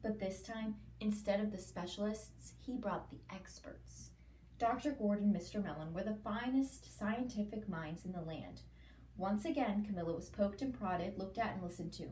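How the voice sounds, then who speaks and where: A woman reading a picture book aloud to children, expressively and with drawn-out emphasis. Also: medium pitch, warm tone. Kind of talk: expressive children's read-aloud with questions to listeners. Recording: echoey room, slightly distant, faint noise.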